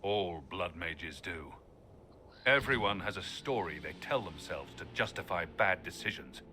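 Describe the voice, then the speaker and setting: A man's voice: low voice, animated, a little slow, bright, forceful tone, a little hiss or hum, full character voice. A man playing a grim, bitter, blunt hero in a fantasy game. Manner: blunt, brooding. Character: grim, bitter male hero, hard on mages. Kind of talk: fantasy game dialogue acting.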